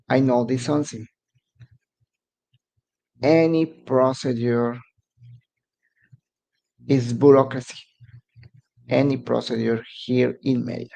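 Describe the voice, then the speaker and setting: A man's voice: mid-range voice, vocal fry, mellow. A man from Mexico whose first language is Spanish, speaking English with a Mexican Spanish accent as he hosts a casual live stream and halts to search for words. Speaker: immigration advice channel host.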